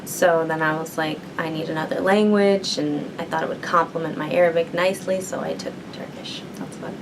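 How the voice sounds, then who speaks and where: A young woman student speaks in a fairly low voice, thinking aloud as she answers questions and pausing on fillers. A steady hum and rumble sit under the recording. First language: English. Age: young adult. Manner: thoughtful, many ums and likes. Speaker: university student. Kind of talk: interview answers.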